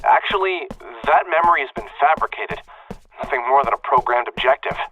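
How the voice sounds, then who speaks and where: A man's voice: mid-range voice, animated, a little fast, sharp tone, soft background music. A man playing an exasperated, probing young programmer in an anime-style game, heard through a radio-like filter. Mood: serious, insistent. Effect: radio-like transmission filter. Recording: thin phone-like radio filter, distant.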